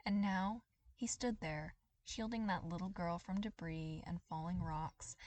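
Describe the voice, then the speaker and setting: A woman reading a story aloud as scripted, expressive dramatic narration. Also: mid-range voice, no room sound. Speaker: podfic reader.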